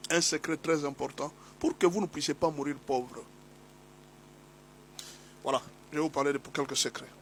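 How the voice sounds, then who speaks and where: A man with a somewhat high voice delivers a sermon while fighting a cold, his tone slightly hoarse. He drives his points home through repetition and rising exhortation. A faint hum sits under the recording. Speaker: pastor. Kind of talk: sermon teaching.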